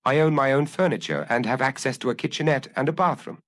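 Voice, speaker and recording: A man's voice: mid-range voice, fast, fairly bright tone, dry recording, voice pushed up, lightly acted. A man voicing an anguished, restless fugitive office clerk, agitated and confessional, in an audiobook. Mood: weary, defensive. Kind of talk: audiobook character dialogue.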